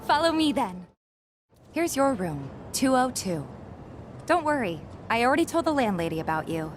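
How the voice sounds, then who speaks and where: An actress playing a friendly, caring young woman in a video game, speaking gently and conversationally with slight hesitations. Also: a little high, sing-song, normal speed, background noise. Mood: warm, helpful. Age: young adult. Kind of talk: video game cutscene voice acting. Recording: background music under dialogue. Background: game music.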